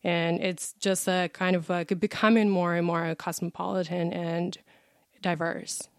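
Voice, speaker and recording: A woman's voice: lowish voice, little pitch movement, unhurried, smooth, dry recording, clean studio sound. A woman in her thirties, born and raised in Russia, chatting as a podcast guest and explaining things with examples. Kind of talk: casual podcast interview.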